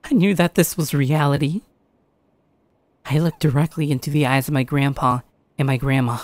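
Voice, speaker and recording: A woman's voice: low-pitched, fairly even intonation, normal speed, darkish tone, no echo, natural voice. A woman playing an anxious young grandchild who earnestly and dramatically narrates a horror tale. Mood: uneasy narration. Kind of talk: first-person scripted horror narration with acted dialogue.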